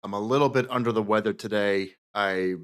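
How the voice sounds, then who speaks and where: A man hosting a live stream who has a cold and a rough voice, talking in a loose, rambling way with halting starts. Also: fairly low pitch, fairly bright tone. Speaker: channel host. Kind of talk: casual stream intro.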